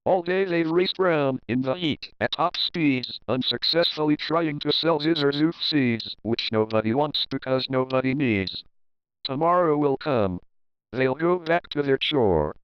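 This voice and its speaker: A man's voice: on the high side, lively intonation, measured pace, clean tone, dry recording, muffled recording. A man narrating a children's picture book as a readalong, reading rhyming verse expressively and rhythmically. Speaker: storybook narrator.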